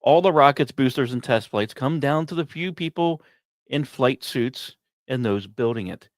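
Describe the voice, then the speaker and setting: Man, mid-pitched voice, podcast host, scripted science narration, dramatic phrasing, short pauses, dry close sound. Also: lively intonation, dry recording. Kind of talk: scripted narration of space and science news with asides to listeners.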